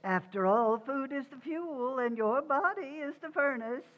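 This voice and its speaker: A woman's voice: high-pitched, lively intonation, a little slow, muffled recording. Woman playing a fussy, swooping older mother-in-law. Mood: prim, fussing. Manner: cooing, prim, condescending. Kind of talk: old-time radio comedy acting.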